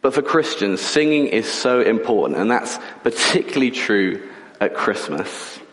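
A man preaching a sermon in a church, a bit croaky from a cold, chatty and joking, often clearing his throat. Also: lowish voice, very animated, moderate pace, fairly bright tone, big-room sound, poor audio. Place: church building.

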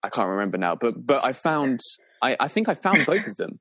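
A man's voice: mid-range voice, very animated, fairly quick, a bit bright, dry recording, studio quality. A nineteen-year-old man co-hosting a casual podcast, telling stories with lots of hesitant restarts. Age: young adult. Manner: hesitant, self-correcting storyteller. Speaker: podcast co-host.

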